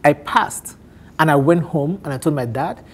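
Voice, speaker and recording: A man in his thirties from Nigeria with an Igbo accent, telling his life story candidly on a talk show, animated and often laughing. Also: on the high side, moderate pace, breathy edge. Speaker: guest on a men's talk show.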